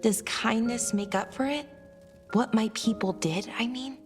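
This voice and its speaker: A woman playing a gentle, troubled young elf in a fantasy game, sincere and hesitant. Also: fairly high pitch, unhurried, close to an everyday voice. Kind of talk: cinematic fantasy game dialogue. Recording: long reverb, music behind.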